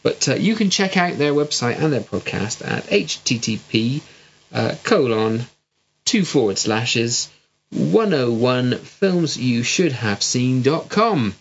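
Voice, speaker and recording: A man's voice: mid-range voice, very animated, takes it slowly. A man with a West Country accent co-hosting a casual podcast, bantering dryly. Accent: West Country English. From West Country of England. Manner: dry, jokey banter. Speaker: podcast co-host. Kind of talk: casual chat. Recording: lo-fi home recording with hum. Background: faint hum.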